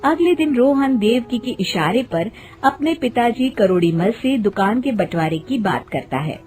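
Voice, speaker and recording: A woman's voice: a little high, animated, measured pace, darkish tone. A woman narrator reading a scripted moral tale.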